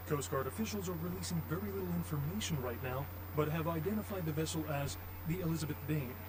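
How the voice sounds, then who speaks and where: Actor as higher-voiced male TV news anchor. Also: noisy background, close to an everyday voice. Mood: formal, serious. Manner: formal, measured newsreader delivery. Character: male TV news anchor reading nightly headlines. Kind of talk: scripted TV news report.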